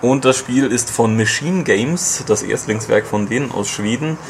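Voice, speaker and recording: A man reviewing a video game in casual podcast chat, explaining at length with many fillers and pauses. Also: mid-range voice, a little hiss or hum.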